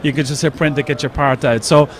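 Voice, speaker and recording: A man's voice: a little high, fairly even intonation, fast, slight background noise. An Irish man explaining his company's product enthusiastically in an interview at a trade show. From Ireland. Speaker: company representative.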